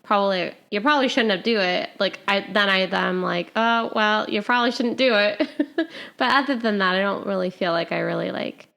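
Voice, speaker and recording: A young woman co-hosting a casual podcast, chatty and quick to laugh at herself. She speaks at a mid pitch with an easygoing, friendly looseness. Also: vocal fry, fairly bright tone. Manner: giggly, chatty, self-deprecating. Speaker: podcast co-host. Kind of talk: casual chat.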